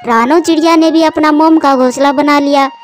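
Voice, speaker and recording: A woman narrates a scripted children's story. Her voice is high, and faint music plays beneath it. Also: steady tone, moderate pace. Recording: music behind the voice.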